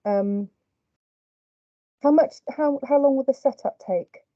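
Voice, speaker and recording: A young woman in a table read on a video call, talking briskly and practically about scheduling. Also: high voice, no echo. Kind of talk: casual chat. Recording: dull dry audio.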